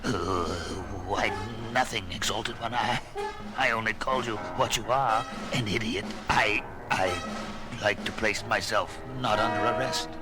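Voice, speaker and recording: A man's voice: fairly low pitch, a little slow, over loud music, natural voice. A man playing an insolent yet obsequiously formal alien junior officer in an audio drama, stammering as he addresses his superior. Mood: nervous insolence. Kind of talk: science fiction audio drama acting.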